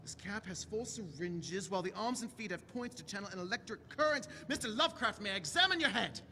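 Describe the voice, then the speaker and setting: Male actor as eccentric inquisitive doctor, bright, swooping delivery, theatrical, chatty, noisy hall stage drama. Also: high voice, animated, a little fast, exaggerated voice. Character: eccentric, brisk, inquisitive male alien time-traveler doctor. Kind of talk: audio-drama style stage acting. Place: large convention hall stage. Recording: echoey hall stage recording with noise.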